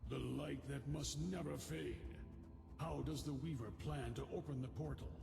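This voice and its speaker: Gravelly, battle-hardened barbarian warrior. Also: male voice, on the high side, steady tone, normal speed. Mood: proud, determined. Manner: solemn, declamatory. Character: battle-hardened barbarian warrior man, proud, grim, eager for battle. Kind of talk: fantasy video game voice acting. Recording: echoey hall reverb under loud game music.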